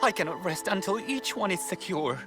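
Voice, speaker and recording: High-voiced, anguished master painter. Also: male voice, animated, brisk, over music. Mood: anxious pleading. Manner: dramatic, lamenting. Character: proud, anguished master painter of feudal Japan.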